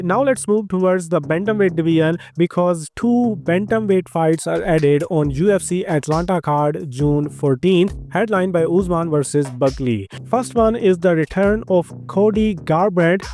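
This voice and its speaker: A man with an Indian accent hosting a fight news channel, reading a brisk scripted rundown of announcements. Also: sits high, mellow. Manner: brisk, list-like delivery with slightly nonstandard grammar. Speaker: MMA news host. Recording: music bed underneath.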